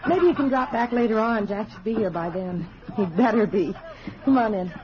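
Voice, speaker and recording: An actress playing a chatty policeman's wife in a 1950s radio drama, expressive and emotional in her delivery. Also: medium pitch, moderate pace, close to an everyday voice. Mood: cheerful, welcoming. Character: chatty, warm-hearted policeman's wife, anxious underneath. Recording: dull vintage radio recording.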